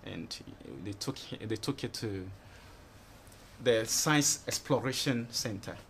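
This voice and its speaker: A man's voice: mid-range voice, takes it slowly, creaky, fairly bright tone, a little hiss or hum. A young man from Ghana in his twenties, answering questions in a TV studio interview and rambling with frequent "you know" and "you understand". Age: 20s. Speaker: interview guest. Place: TV studio set.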